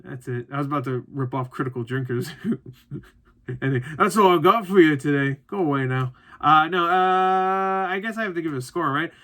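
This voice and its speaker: A man's voice: a little high, moderate pace, a bit bright. A man of thirty giving a casual, unscripted movie review as host, rambling conversationally and swearing casually.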